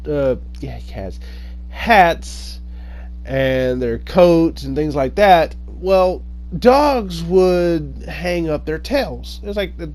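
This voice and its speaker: Male storyteller, slightly high voice, unhurried and hesitant, informal folk-tale telling with self-corrections. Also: darkish tone. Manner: halting, hesitant delivery with frequent pauses and self-corrections. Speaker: online storyteller.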